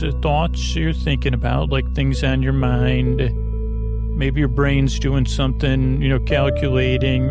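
An American man hosting a bedtime podcast, rambling in a soothing, creaky voice with hesitant, meandering repetitions. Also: medium pitch. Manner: hesitant, meandering, repeats himself; lulling, soothing. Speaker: podcast host.

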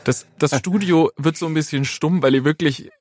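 A man's voice: on the high side, moderate pace, a little mellow, dry recording, soft background music. A man, chatting casually as a guest expert demonstrating something. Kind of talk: casual explanatory chat. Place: dry studio.

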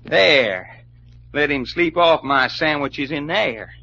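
An actor playing a brash, soft-hearted adventurer with a folksy Southern drawl in an old-time radio adventure. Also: male voice, fairly high pitch, lively intonation, slow pace, vocal fry, heavily acted. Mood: brisk, cocky. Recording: muffled old radio recording with hum, noise.

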